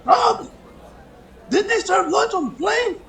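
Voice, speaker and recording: An actor playing a loyal, cheeky male valet and chauffeur in old radio comedy, with a raspy, creaky voice. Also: extremely high pitch, expressive, slow pace, background noise, heavily acted. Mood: teasing. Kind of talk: 1950s radio comedy acting. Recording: live crowd behind.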